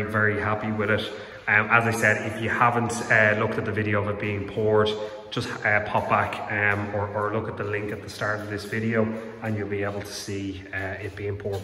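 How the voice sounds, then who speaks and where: A man's voice: lowish voice, bright, forceful tone. An Irish-accented man in his thirties giving a casual walk-through as a home renovation vlogger, recorded on a phone in a bare, empty house. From Ireland. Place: empty unfurnished house under renovation, indoors. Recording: echoey bare room, clear background noise.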